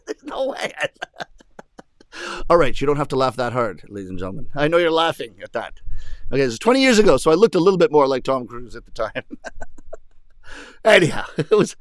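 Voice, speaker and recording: A man performing comedy, telling stories to an audience in English, his first language, and shouting out the voices of the Japanese ladies he meets. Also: mid-range voice, big pitch swings, normal speed, long pauses, no room sound. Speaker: rakugo comedian. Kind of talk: stand-up storytelling to an audience.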